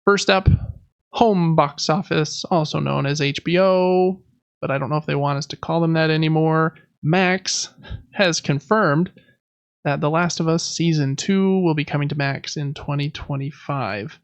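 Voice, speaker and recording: A man speaks as a podcast co-host in a loose, conversational style. His voice sits slightly high for a man and has a noticeable creak. The recording is very dry and a little dull. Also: unhurried, dry recording. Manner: conversational, loosely reading through news items. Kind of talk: casual podcast chat on gaming news.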